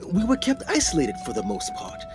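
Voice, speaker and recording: A man playing a deranged, dying explorer in a radio play, speaking in a halting, intense, pleading way. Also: a little high, wildly swooping pitch, over music. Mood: grave, haunted. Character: deranged, dying male explorer, tormented and desperate. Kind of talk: dramatic radio play acting.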